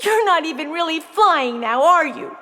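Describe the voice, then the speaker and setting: A woman's voice: extremely high pitch, lively intonation, normal speed, bright, forceful tone, exaggerated voice. A woman playing an emotional, brainy nine-year-old girl in a children's audio play, melodramatic and pleading. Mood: enraged, betrayed. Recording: long reverb on the voice.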